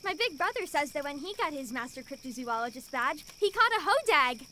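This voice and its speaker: An actress playing an eager, excitable little kid scout in an audio drama. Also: female voice, high voice, a little fast, a little hiss or hum, exaggerated voice.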